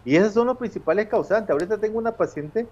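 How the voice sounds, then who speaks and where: A man's voice: sits high, animated, quick pace, darkish tone, slightly rough, dull audio. A man in his fifties with a Nicaraguan accent, a gynecologist explaining things patiently on a TV show by video call from home, with many eh fillers. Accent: Nicaraguan Spanish. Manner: explanatory, with frequent eh fillers and restarts. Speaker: gynecologist guest. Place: home office with bookshelves.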